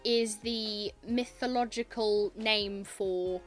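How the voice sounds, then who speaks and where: A woman's voice: mid-range voice, fairly even intonation, slow pace, faint music behind. A young Welsh woman chatting casually on a podcast about her writing, rambling and trailing off with fillers. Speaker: podcast guest, author. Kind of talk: casual chat.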